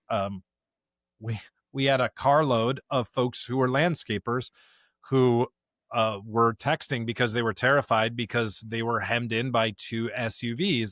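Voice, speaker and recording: Male pastor podcaster, mid-pitched, conversational and emphatic, very little room sound. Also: a bit bright, no room sound, clean studio sound. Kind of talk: conversational podcast discussion with scripture reading.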